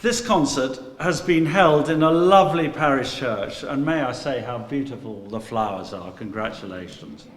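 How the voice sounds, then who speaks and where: A man's voice: mid-range voice, normal speed, few pauses. Formal man speaking in an echoey church. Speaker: guest dignitary giving a speech. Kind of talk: formal speech of thanks. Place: inside a parish church, before an audience. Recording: echoey church with long reverb.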